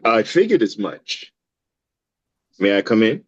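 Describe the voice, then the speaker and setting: A man's voice: on the low side, big pitch swings, measured pace, dry recording. Actor as guarded male doctor, very varied intonation, dry and slightly muffled sound. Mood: polite, probing. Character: evasive male doctor, defensive and measured. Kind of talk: table read of a screenplay.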